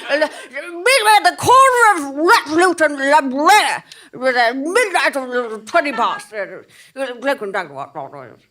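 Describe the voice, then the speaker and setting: High, garbled eccentric informant. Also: male voice, very animated, bright, forceful tone, heavily acted. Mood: flustered urgency. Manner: halting, garbled, muttering. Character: eccentric, excitable male informant speaking garbled peculiar dialect. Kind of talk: audio drama acting.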